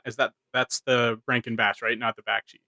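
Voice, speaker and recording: A man's voice: medium pitch, little pitch movement, moderate pace, gravelly, pushed and bright, no echo. A man who produces a podcast, chatting casually as a member of its panel.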